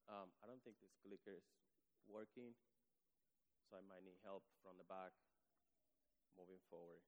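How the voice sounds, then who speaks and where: A man's voice: low-pitched, steady tone, normal speed. A man from the Dominican Republic preaching a sermon, hesitant and full of ums and restarts. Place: church sanctuary. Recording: long church hall reverb.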